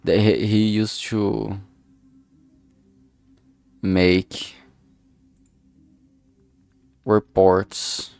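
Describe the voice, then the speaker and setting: A man chatting casually on a livestream in a rambling, halting way, swearing now and then, speaking into a filtered streaming microphone. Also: on the low side, flat delivery, vocal fry, darkish tone, dry recording. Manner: rambling, halting, often losing his thread. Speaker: streamer and amateur game writer. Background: background music.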